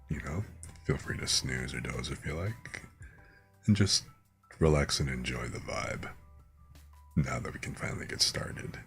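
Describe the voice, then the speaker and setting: A man hosting a bedtime story stream, speaking in a relaxed ASMR storytelling style. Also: a bass voice.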